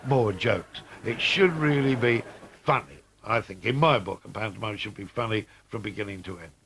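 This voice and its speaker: Low, gravelly man. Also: moderate pace, fairly bright tone, slight background noise. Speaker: interviewee, pantomime writer. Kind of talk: interview talk. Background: music.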